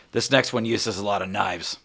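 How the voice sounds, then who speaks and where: A man voicing a brisk male half-orc who orders people out of the way. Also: on the low side, gravelly, a bit bright, full character voice. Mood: brusque. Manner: bossy, curt orders. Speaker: game master.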